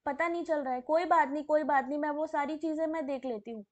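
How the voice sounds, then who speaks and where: A woman with a high voice, teaching a live online exam class while hoarse from a sore throat. She reads quiz questions and answers, then turns to chat messages and calls viewers by name in a friendly, talkative way. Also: steady tone, fast talker, darkish tone. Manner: chatty, addresses viewers by name.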